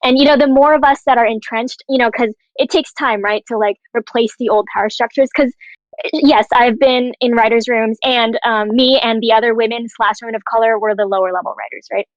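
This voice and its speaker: A woman's voice: fairly high pitch, steady tone, brisk, dry recording. A young American woman chatting casually in a panel discussion, peppering her talk with filler phrases like "you know", on slightly dull audio. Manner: conversational, frequent "like" and "you know". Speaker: panelist, animation writer and actor.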